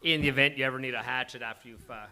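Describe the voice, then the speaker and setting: A man's voice: medium pitch, steady tone, racing, bright, forceful tone. A man hosting a live table read and narrating the stage directions. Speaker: host and stage-direction reader. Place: live venue before a studio audience. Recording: faint background noise, live event.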